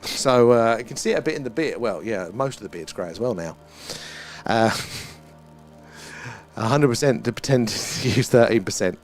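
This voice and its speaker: A man's voice: medium pitch, animated, normal speed, soft background music. A British man hosting a live stream, chatting casually in a rambling, musing way with some mild swearing. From United Kingdom. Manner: musing, loosely philosophical, mildly sweary. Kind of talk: casual rambling livestream chat.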